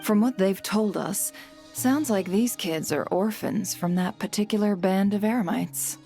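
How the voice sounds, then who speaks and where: A woman playing a composed, worldly storyteller in a video game, speaking in a measured, coolly wry manner. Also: medium pitch, creaky, warm tone, faint music behind. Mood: grave, reflective. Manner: measured, poised. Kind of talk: video game character acting.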